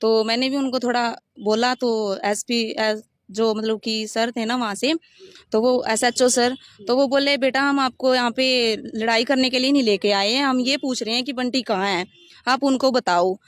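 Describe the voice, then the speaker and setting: High-pitched woman. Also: steady tone, moderate pace, no room sound, clean studio sound. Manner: rambling, detailed step-by-step recounting. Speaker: interviewee recounting her complaint. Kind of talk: spoken personal account in an interview.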